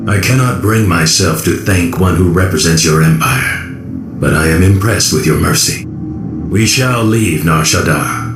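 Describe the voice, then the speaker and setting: A man playing a solemn, proud alien rebel leader in a video game, speaking in measured, formal words with grave weariness. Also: low voice. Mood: solemn, relenting. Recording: echoing voice under loud game music.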